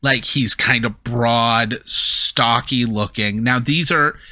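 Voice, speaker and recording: A man's voice: mid-range voice, slow, pushed and bright, no room sound. A man narrating as game master for a tabletop game, in a casual, rambling way full of fillers.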